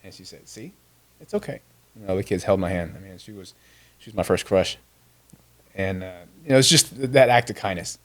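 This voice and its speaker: A man's voice: low-pitched, fairly quick, fairly bright tone. A man in his fifties, born in Vietnam with Vietnamese as his first language, telling a personal story earnestly as a podcast guest. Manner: earnest, with frequent restarts.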